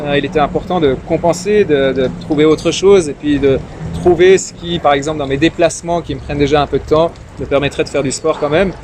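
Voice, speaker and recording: A man's voice: a little high, fairly even intonation, a little fast. A timid young man born in Iran, whose first language is Farsi, speaking in a casual interview with many fillers and repeated words. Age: young adult. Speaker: interview guest, young politician. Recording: noisy street and traffic ambience.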